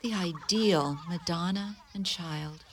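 A woman's voice: lowish voice, slow. A woman playing a devout, rule-bound social worker, formal and earnest, in a community radio play. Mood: softened, devout. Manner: formal, earnest, hesitant to bend rules. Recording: noisy radio studio recording.

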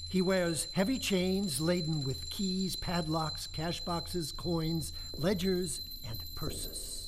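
A man plays the storyteller of a radio play over faint background ambience. His voice sits a little high and he takes his time, measuring out each phrase of the Victorian ghost story with theatrical care. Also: slow, background noise. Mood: atmospheric. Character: storyteller of a Victorian ghost tale, vivid and deliberate. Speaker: narrator. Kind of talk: scripted radio play narration.

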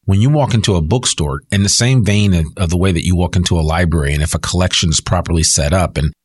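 A man's voice: low voice, quick pace, slightly rough, no room sound. A man hosting an interview in a casual, chatty way, given to telling little anecdotes. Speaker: interview host.

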